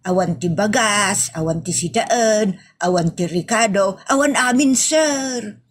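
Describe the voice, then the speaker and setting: A woman's voice: mid-range voice, measured pace, close to an everyday voice. An Ilocano-accented woman playing a shameless, wheedling, whiny poor village woman in a comedy audio drama. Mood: pleading.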